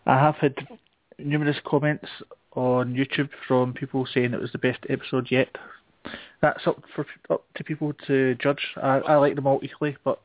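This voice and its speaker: Male podcast host, mid-pitched, casual and hesitant, dry telephone-grade audio. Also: little pitch movement, moderate pace, dry recording. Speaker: show host taking a turn as guest. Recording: thin phone-like internet radio sound.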